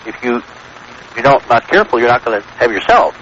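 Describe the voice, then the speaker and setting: Dull vintage radio sound, American male guest, assertive, lecturing, explanatory, medium pitch, light noise. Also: very animated, fairly quick, fairly bright tone. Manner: assertive, lecturing, punctuates points with check-in questions. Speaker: radio show guest expounding theories. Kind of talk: radio interview, explanatory talk.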